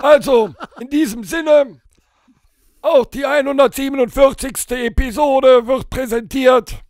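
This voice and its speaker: A man's voice: very high voice, measured pace, darkish tone, no room sound, studio quality. A man voicing Horst, a brash and crude male character, bantering bluntly on a podcast. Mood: blunt, grumbling.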